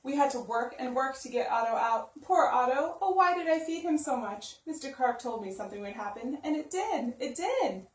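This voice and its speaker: A woman's voice: sits high, expressive, normal speed, few pauses. A woman narrating a children's storybook expressively, with simple, emphatic phrasing for young listeners. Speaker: story-time reader. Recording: roomy sound, distant microphone.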